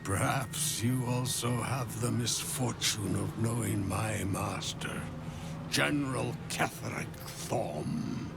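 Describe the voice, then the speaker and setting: An actor playing a weary, dignified damned architect in a fantasy game, solemn and halting, often sinking to a near-whisper. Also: male voice, fairly low pitch, gravelly, exaggerated voice. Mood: sad, moved. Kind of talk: dramatic video game acting. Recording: prominent music behind the voice.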